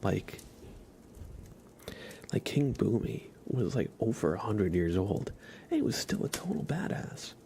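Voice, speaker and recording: Male ASMR streamer, casual and hushed, dips into whispers, lots of vocal fry, slight background noise. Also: fairly low pitch, big pitch swings, a little slow, pauses often. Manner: soft-spoken, often dropping into a whisper. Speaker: livestream host. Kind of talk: ASMR, casual rambling chat with live viewers.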